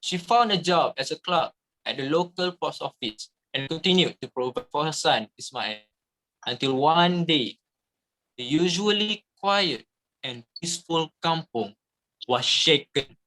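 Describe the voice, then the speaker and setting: Bright, higher-pitched male storyteller. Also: animated, a little slow, low-quality recording. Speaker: narrator. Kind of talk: dramatic scripted storytelling.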